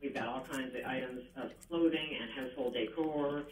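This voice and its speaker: A woman's voice: a bass voice, steady tone, moderate pace. A woman with a Canadian accent speaking earnestly as a radio interview guest, heard from a radio playing across a room. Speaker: animal rescue founder, radio guest. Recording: distant, echoey, noisy radio playback in room.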